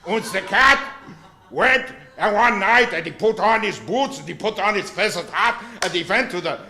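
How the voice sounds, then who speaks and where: Man, comic storytelling, high voice, bright, theatrical, sweary, echoey room. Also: expressive, normal speed, creaky. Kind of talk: improvised comic storytelling, crude fairy-tale parody.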